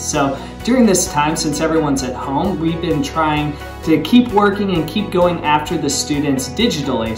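A woman's voice: sits low, echoey room. A woman giving an earnest missionary video update.

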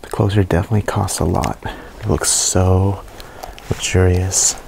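A young man narrating a walkthrough of an abandoned mansion to a handheld camera, hushed and awed by what he finds. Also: deep voice, steady tone, a little slow, creaky, noisy background. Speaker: urban explorer vlogger. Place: inside a large abandoned mansion.

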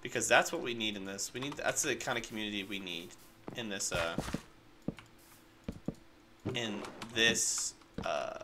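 A man's voice: on the low side, a little slow, long pauses, background noise. A congested man rambling through casual, unedited gameplay commentary and talking to his chat. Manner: rambling, chatty, addresses chat as guys. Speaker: gaming creator.

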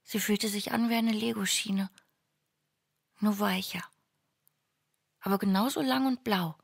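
A woman playing a dreamy, smitten angel in a German radio play, talking haltingly. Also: mid-range voice, creaky, dry recording, clean studio sound. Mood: hushed, smitten.

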